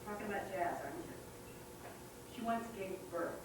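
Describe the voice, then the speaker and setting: An actress at a staged reading playing a blunt, gossipy, world-weary care worker with an emphatic, sardonic, confiding manner. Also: female voice, mid-range voice, animated, moderate pace, natural voice. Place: theatre stage, recorded from far off. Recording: distant, echoey, noisy theatre recording.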